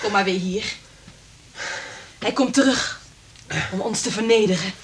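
An actress playing an anxious, anguished wife with telepathic powers, speaking dramatically in a radio drama. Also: female voice, mid-range voice, unhurried, a little hiss or hum, lightly acted. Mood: tense, resigned.

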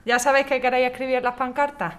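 A woman's voice: sits high, flat delivery, very fast, fairly bright tone, slight background noise. A woman with a Spanish accent from Spain playing an encouraging, experienced housing activist in a radio drama. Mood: practical. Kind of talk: scripted radio drama dialogue.

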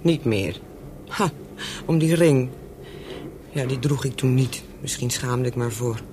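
A woman's voice: very deep voice, unhurried, noisy background. An actress in a radio play as a worldly, flirtatious Parisian woman of the night, teasing and nonchalant, with a wry edge. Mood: wry, softer.

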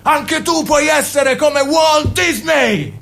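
An actor playing a smooth-talking young man, a coaxing charmer in a radio play. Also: extremely high pitch, takes it slowly, fairly bright tone, exaggerated voice. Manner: coaxing, wheedling, with sudden laughs.

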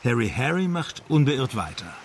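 Casting: matter-of-fact detective adjutant, middle-pitched, dryly questioning, radio mystery play, noticeable background noise. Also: male voice, very animated, moderate pace. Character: Dutch police adjutant, matter-of-fact friend and investigator. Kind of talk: radio crime drama dialogue. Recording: clear background noise under dialogue.

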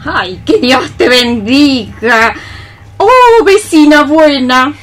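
A woman's voice: sits high, animated, very slow, fairly bright tone, full character voice. A woman playing a melancholy, dreamy cockroach mystic in radio theatre, sighing her lines as solemn lyrical verse.

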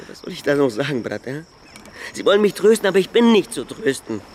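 An actor playing a grieving, guilt-ridden river trader in a radio play, plaintive, halting and insistently pleading. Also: male voice, fairly high pitch, big pitch swings, darkish tone, a little hiss or hum. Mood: sorrowful, resigned. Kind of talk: German radio play acting.

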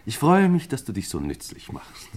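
An actor playing an elderly lord and indulgent uncle in a German crime radio play, with a hesitant, theatrical manner. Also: male voice, medium pitch, huge pitch swings, moderate pace.

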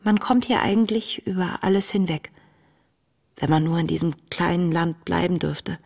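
A woman playing a compassionate young camp inmate reading out her letters, measured and reflective, in a radio play. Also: lowish voice, steady tone, gravelly. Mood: sombre, reflective. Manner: reflective, measured, sometimes hushed. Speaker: lead actress, letter reader. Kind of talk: intimate literary letter narration in a radio play.

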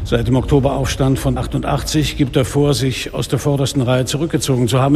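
An actor playing a weary, sardonic middle-aged police inspector, narrating a noir radio drama in a dry, laconic way. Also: male voice, mid-range voice, creaky, noisy background, lightly acted. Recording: music behind the voice.

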